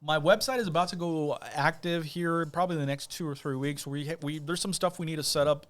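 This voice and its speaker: A man's voice: a little high, a little fast, a bit bright. A man talking casually as a guest on a podcast, easygoing and rambling, with plenty of fillers and restarts. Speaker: guest, judicial candidate.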